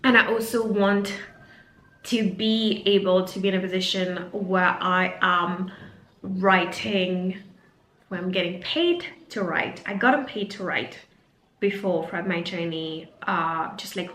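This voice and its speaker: Woman, Mauritian accent, Creole native speaker; reflective unscripted vlog, bright voice, roomy sound. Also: lowish voice, measured pace, slight background noise. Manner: reflective, earnest, frequent fillers and restarts. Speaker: career coach and vlogger. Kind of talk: unscripted talking-to-camera vlog. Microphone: camera mic.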